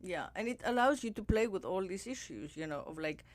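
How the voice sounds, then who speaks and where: A woman hosting a podcast who talks in a reflective, musing way, her pitch moving up and down with plenty of life. Her voice is dry and close to the mic. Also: lively intonation, fairly quick, no echo. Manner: reflective, drifting softer as she muses. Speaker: podcast host. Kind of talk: casual interview conversation.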